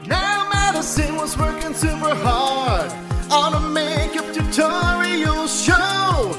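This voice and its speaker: A woman's voice: sits high, takes it slowly. A woman singing a comic storytelling theme song with pop backing. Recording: loud pop music, reverberant mix. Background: pop music.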